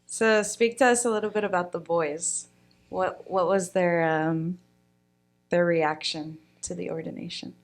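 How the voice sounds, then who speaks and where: A woman hosts a podcast interview. She asks her questions simply, and in between she keeps her replies short and encouraging. Her voice sits on the lower side for a woman. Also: steady tone, a little slow. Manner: brief encouraging responses. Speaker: podcast host. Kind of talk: scripted-ish interview questions.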